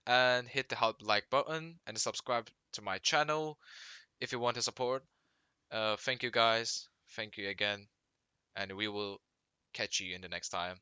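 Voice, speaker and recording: Halting young man with a Turkish accent. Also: mid-range voice, sharp tone, clean tone, dry recording. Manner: halting, searching for words, many restarts. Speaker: vlogger. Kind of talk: casual talk to camera.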